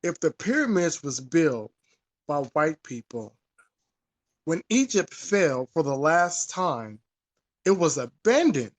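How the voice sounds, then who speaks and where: A man with a high-pitched voice talks in a casual, unscripted discussion. He is emphatic and informal, often repeating his phrases and using some salty language. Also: big pitch swings, slow.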